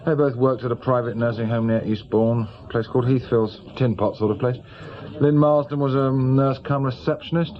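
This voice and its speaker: An actor playing a brisk, self-assured senior British police officer in middle age, matter-of-fact and rather patronising. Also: male voice, mid-range voice, fairly even intonation, highs cut off, close to an everyday voice. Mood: casual, matter-of-fact. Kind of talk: naturalistic radio drama acting.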